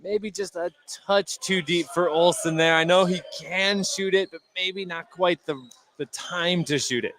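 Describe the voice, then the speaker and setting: A man with a somewhat high voice gives color commentary at a basketball game. He analyzes play in a measured, conversational way, with faint noise behind him. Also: a bit bright. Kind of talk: unscripted sports analysis.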